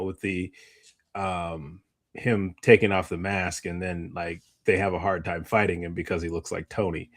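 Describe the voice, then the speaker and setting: A man chatting casually about movies as a podcast co-host, rambling and often trailing off mid-thought. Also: deep voice.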